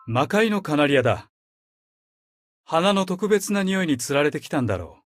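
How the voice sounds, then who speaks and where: Man playing a lively, quick, charming demon-realm gentleman. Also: a little high, natural voice. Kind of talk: Japanese game character voice lines.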